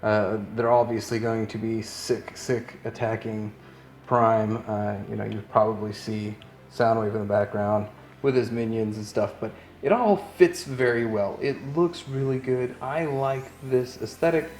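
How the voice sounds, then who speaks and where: A man's voice: on the low side, steady tone, unhurried, a little mellow. A man presenting an unscripted product review, rambling in an easygoing way as he thinks aloud while handling items, with music behind him.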